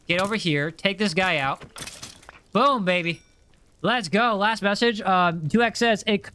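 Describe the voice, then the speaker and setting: A man's voice: high voice, sharp tone, a little hiss or hum. A young American man with a general American accent, chatting casually and upbeat while playing a game on a livestream and reading chat aloud. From USA. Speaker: streamer.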